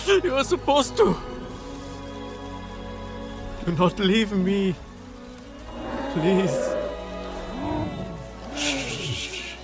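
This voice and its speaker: A man's voice: sits high, very animated, extremely slow, music in the background, heavily acted. An actor playing a devoted young male alien hunter in a video game cutscene, speaking in a formal, old-fashioned way. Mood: grieving.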